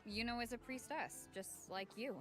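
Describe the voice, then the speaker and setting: A woman playing an earnest young woman in a fantasy video game, with serious, deliberate delivery. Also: on the high side, natural voice. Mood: earnest, troubled. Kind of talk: scripted video game acting. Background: game music.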